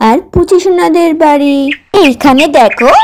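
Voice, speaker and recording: Female narrator for a kids' puppet story, high-pitched, talking straight to the viewers. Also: normal speed, a little hiss or hum. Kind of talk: children's doll story narration, addressing viewers.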